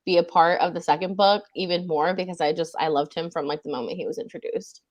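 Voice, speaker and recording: A woman's voice: fairly low pitch, steady tone, quick pace. A woman gushing enthusiastically about a favorite book in a casual book club call. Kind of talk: casual video call chat.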